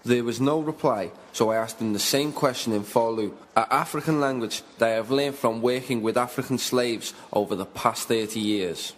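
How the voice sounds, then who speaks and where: A man playing a middle-aged, conflicted slave merchant in a radio drama, speaking in a measured, formal, earnest way. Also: medium pitch, slight background noise, lightly acted. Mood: grave, reflective. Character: conflicted older slave merchant, male, firm yet humane. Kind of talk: radio drama dialogue and first-person narration. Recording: music bed under the voice.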